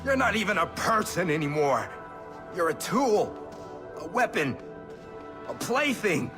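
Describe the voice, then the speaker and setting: A man's voice: high-pitched, big pitch swings, unhurried, over music. An actor playing a bitter, haunted man who taunts erratically and swears in a video game cutscene. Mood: bitter menace. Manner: mocking, profane, erratic, emphatic.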